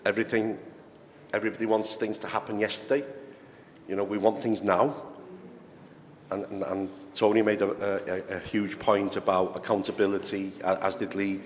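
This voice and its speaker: Man, sporting director panelist, measured delivery, long hall reverb. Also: on the low side, level tone. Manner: measured, repeats words while building points. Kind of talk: panel discussion. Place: conference hall. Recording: reverberant hall with faint noise.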